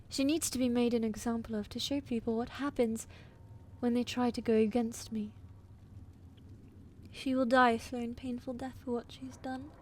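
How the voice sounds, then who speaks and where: Woman playing a haughty high-voiced evil queen. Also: darkish tone, a little hiss or hum, close to an everyday voice. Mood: angry, scornful. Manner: haughty, commanding, slow menacing delivery. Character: ruthless, imperious evil queen, possessive wife. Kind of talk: scripted audio roleplay acting.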